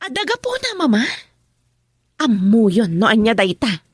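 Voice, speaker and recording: A woman's voice: a little high, wildly swooping pitch, normal speed, music in the background. A woman playing a resentful married daughter, emotional and complaining, in a Filipino radio drama in Ilocano. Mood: sullen. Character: resentful married daughter arguing with her mother.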